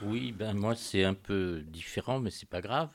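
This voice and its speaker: An older man with a light Parisian accent speaks in a lowish, unhurried voice. He talks softly, as a guest in a dry radio studio. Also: no echo. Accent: slight Parisian French. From Paris, France. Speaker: radio guest, heritage association president. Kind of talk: casual radio interview.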